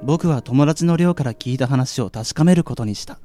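A man playing a curious young part-timer, narrating his night in a measured, introspective radio drama style. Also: medium pitch, warm tone, faint music behind, lightly acted. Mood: reflective.

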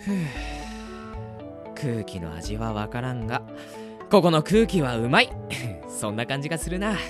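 A man's voice: medium pitch, big pitch swings, measured pace, over music. A man playing a slangy, cheeky, bewildered boy who mutters to himself in a radio drama. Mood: musing. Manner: casual slangy muttering to himself, exaggerated reactions. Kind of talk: hobby radio drama acting with self-talk monologue.

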